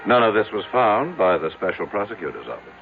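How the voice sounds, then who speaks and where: A man's voice: fairly low pitch, sing-song, a little fast, gravelly. An actor playing a wealthy, skeptical, guarded American businessman in a radio drama. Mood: defensive, indignant. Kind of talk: 1970s radio drama acting. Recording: old tinny narrow-band radio, faint music.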